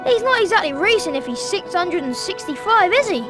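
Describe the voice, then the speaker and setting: Squeaky-high, sarcastic English schoolboy wizard. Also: female voice, big pitch swings, a little fast. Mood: baffled, wry. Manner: sarcastic, blurting. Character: young schoolboy wizard, sarcastic, grumbling, excitable sidekick. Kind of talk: video game cutscene acting. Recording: music behind the voice.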